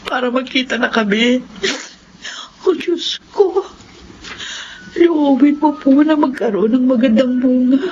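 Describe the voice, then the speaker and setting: A woman's voice: a little high, natural voice. An actress playing a humble, anguished housemaid mother in a Filipino radio drama, pleading and deferential. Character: anguished, humble housemaid mother searching for her runaway child. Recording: dull, noisy radio drama audio.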